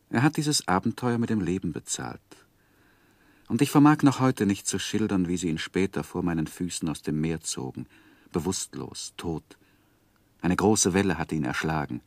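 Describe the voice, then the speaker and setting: A deep-voiced, sorrowful gentleman looks back on his life. His delivery is measured and solemn, like literary radio drama, with a dry, close sound. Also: dry recording. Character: grieving, reflective gentleman narrating his life story. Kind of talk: literary radio play narration.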